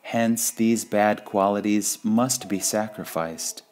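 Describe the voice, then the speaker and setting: A man reading spiritual sayings in a measured, deliberate devotional style, pausing between phrases. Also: lowish voice, darkish tone, a little hiss or hum. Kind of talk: slow devotional quote reading.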